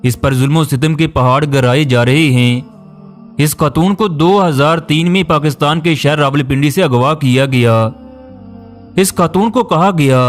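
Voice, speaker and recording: A man reading a scripted documentary narration in a solemn, emotive, declamatory way. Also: fairly high pitch. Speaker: narrator of a biography video. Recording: music behind the voice. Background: background music.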